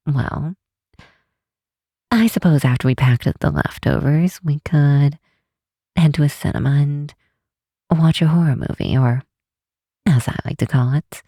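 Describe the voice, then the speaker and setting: A woman playing an affectionate, teasing vampire scientist in an intimate ASMR roleplay, speaking softly and unhurriedly to a close friend. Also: deep voice, no echo, exaggerated voice. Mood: fond, musing. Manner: soft, unhurried, teasing, sometimes breathy near-whisper. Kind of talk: intimate ASMR roleplay, one side of a call.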